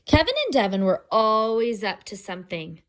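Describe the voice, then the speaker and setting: A woman reading a children's storybook aloud expressively, pausing to explain words and pictures to young listeners. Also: medium pitch, lively intonation, fairly bright tone.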